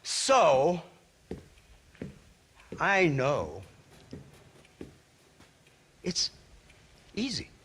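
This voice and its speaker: An actor playing a smug, contemptuous government lawman in a western game, speechifying sardonically and menacingly in a husky voice. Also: a little high, wildly swooping pitch, a bit bright, a little hiss or hum, exaggerated voice. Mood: smug, lecturing. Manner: sardonic drawn-out speechifying, dropping to near-whispers, chuckling.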